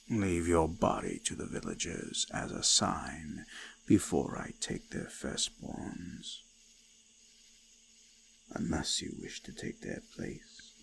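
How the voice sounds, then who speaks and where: A man playing an ancient eldritch forest god, menacing yet seductive, speaking in slow archaic phrases with hushed, breathy whispers. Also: low-pitched, slight background noise, full character voice. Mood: menacing, condescending. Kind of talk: scripted roleplay audio, slow dramatic monologue.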